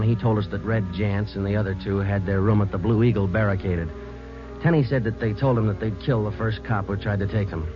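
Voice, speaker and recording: An actor playing a terse police sergeant who also narrates, in flat, matter-of-fact 1950s radio drama style, on a dull lo-fi old radio broadcast with music. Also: male voice, fairly low pitch, natural voice. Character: terse male police sergeant, calm and clipped. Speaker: detective and narrator.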